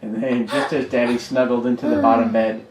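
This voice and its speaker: A man reading his children's book aloud to kids in an animated storybook style. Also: medium pitch, a little fast. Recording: echoey home recording, distant mic, background noise.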